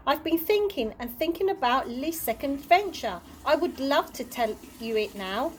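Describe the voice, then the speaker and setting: A woman's voice: high-pitched, expressive, moderate pace, slight background noise, voice pushed up. Kindly old tramp, voiced by a woman. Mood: encouraging. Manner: earnest, gently encouraging. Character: kindly old male tramp, wistful and encouraging.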